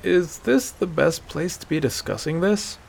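A man's voice: fairly high pitch, unhurried, vocal fry, a little mellow, a little hiss or hum, voice pushed up. A man voicing a stubborn, sarcastic young hunter in a dramatic fantasy story, blunt and incredulous in his challenges. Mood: challenging, sarcastic. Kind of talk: dramatic fantasy audiobook character voice.

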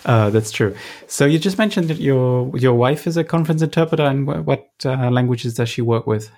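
A man conducts an interview, asking questions in a halting way. He fills pauses with um and uh and repeats words as he searches for the phrasing. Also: mid-range voice, darkish tone.

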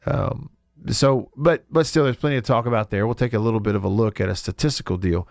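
A man's voice: medium pitch, fast talker, gravelly, dry recording. A man hosting a radio sports talk show in a studio, congested from a cold, easygoing and chuckling, with frequent restarts. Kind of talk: casual radio chat.

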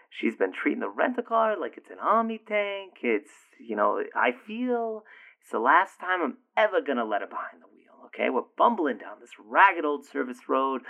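A man's voice: medium pitch, creaky, narrow, tinny audio. An actor playing a crude, easygoing boyfriend who rambles and swears as he leaves a voicemail for a friend. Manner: profane, rambling, laughing and exasperated. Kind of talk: audio drama acting.

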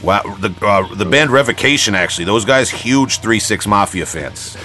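A man's voice: lowish voice, lively intonation, normal speed, pushed and bright, soft background music. A man hosting a podcast, chatting casually in a slangy, rambling way. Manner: slangy, rambling, often says "man" and "you know". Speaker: podcast host. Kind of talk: casual chat interview.

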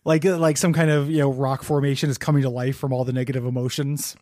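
A man's voice: mid-range voice, flat delivery, fast talker, a little mellow, dry recording, studio quality. A man co-hosting a podcast who rambles through pop-culture riffs and laughs often while chatting casually. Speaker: podcast co-host. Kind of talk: casual chat.

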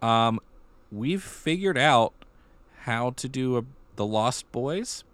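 A man's voice: medium pitch, lively intonation, slow, fairly bright tone, clean tone, dry recording. A man co-hosting a theater podcast in a casual, conversational and explanatory way. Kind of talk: casual chat about musical theater news.

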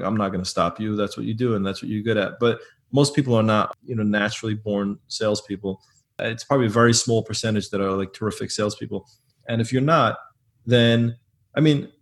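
A man with a low-pitched voice speaks loudly and with emphasis, doubling up words as he makes his point. He talks in a relaxed interview manner about running his business. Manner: loud, emphatic, repeats words. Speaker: guest, business owner. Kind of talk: casual interview talk.

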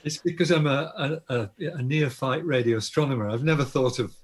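A man's voice: mid-range voice, a little fast, breathy edge. A man with an Australian accent, an astronomer guest, explaining patiently and modestly in an interview. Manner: explanatory, self-deprecating, laughs often.

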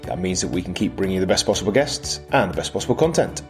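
Fast-talking male podcast host. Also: sits low, expressive, over music. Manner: upbeat, read from a script. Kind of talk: scripted outro and promo.

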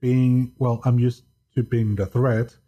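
A man guesting on a podcast, talking in a deadpan monotone and often stopping to restart his sentences. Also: mid-range voice, slow pace, breathy edge, dull audio. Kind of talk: casual chat.

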